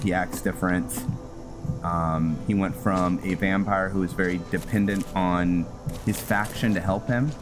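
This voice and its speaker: A man with a low voice narrates his gameplay casually. He speaks slowly and haltingly, as if thinking aloud, with clear game music playing behind him. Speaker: host of a video game roleplay series.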